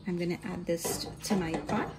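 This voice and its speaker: A woman with a Guyanese accent hosting a home cooking tutorial, giving calm step-by-step instructions and often addressing viewers as "guys". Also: lowish voice, normal speed. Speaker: cooking channel host. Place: home kitchen. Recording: home recording with audible kitchen noise. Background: dishes, pots and kitchen clatter.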